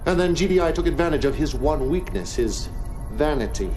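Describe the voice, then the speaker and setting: A man performs a charismatic cult leader from a video game cutscene. He speaks like a menacing prophet, deliberate and grandiose, addressing his follower over prominent dramatic music. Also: a little high, animated, darkish tone, natural voice. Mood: cold, scheming. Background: dramatic background music.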